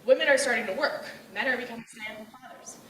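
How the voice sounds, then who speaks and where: Young woman giving a loud, outgoing talk. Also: on the high side, fast, distant mic. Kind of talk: personal speech on gender roles. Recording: echoey room, voice from afar.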